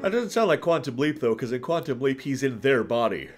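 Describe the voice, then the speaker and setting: A man hosts a casual livestream, thinking out loud as he answers his viewers. His pitch moves around a lot, lively and expressive, and he readily acts out his examples. Music plays behind his voice. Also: brisk. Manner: animated, chuckles through his points, acts out examples. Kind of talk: casual off-the-cuff livestream commentary.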